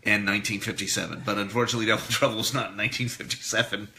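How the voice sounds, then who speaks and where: A man presenting a live radio show, talking casually and a bit haltingly through history notes. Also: lowish voice, fairly even intonation, creaky, pushed and bright.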